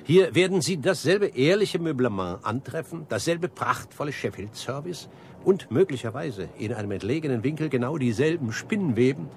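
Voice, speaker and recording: An actor playing a pompous, devoted restaurant regular in a radio drama, speaking formally and with reverent emphasis. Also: male voice, medium pitch, expressive, moderate pace, darkish tone, slight background noise, lightly acted. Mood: fervent, proud. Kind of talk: German radio drama acting.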